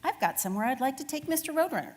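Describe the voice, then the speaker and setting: A woman's voice: high-pitched, very animated, very fast, pitched above the performer's own voice. A woman voicing a friendly, cheerful sheep mascot in a children's storybook, polite and upbeat.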